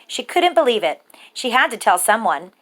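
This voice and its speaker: A woman storyteller reading a children's picture book aloud with measured, rhythmic, expressive phrasing for young listeners. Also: fairly high pitch.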